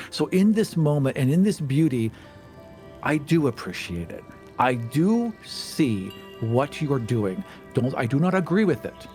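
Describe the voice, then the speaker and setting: Man, tabletop player, wry and measured, mid-pitched, faint music behind. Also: a little mellow. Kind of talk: in-character tabletop roleplay commentary.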